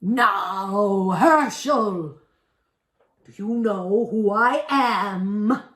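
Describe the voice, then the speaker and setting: Storybook villain: monstrous goblin king played by an older woman, boastful and threatening, drawn-out delivery, roomy echo. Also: mid-range voice, fairly bright tone. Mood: angry, threatening. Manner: grand, threatening declarations. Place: indoor room at home, by lit candles.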